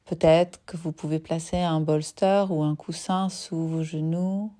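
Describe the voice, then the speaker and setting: A woman guides a meditation in a slightly low, warm and relaxed voice. Her delivery is very slow and soothing. She speaks in short phrases separated by long, unhurried pauses, as a yoga nidra teacher would.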